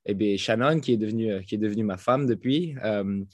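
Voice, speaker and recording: A French man who just turned thirty, guest on a podcast, speaking in a halting way full of fillers and restarts. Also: fairly low pitch, a little mellow, dry recording. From France. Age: 30s. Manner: hesitant, many fillers and restarts. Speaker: guest, fitness coach. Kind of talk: casual interview talk. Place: home in Florida, over a call.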